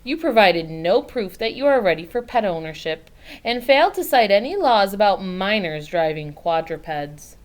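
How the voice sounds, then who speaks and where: A woman reading a storybook as a firm, formal mother who hands down decisions in a measured, official manner. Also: mid-range voice, animated, natural voice.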